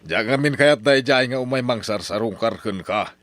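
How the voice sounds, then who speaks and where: A man's voice: medium pitch, moderate pace, a bit bright, dry recording, close to an everyday voice. An actor with an Ilocano accent playing a scheming, bossy, middle-aged farmer father in an old-style radio drama, grumbling and scolding. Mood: insistent, scheming. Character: scheming, money-minded father, a poor farmer, nagging and bossy.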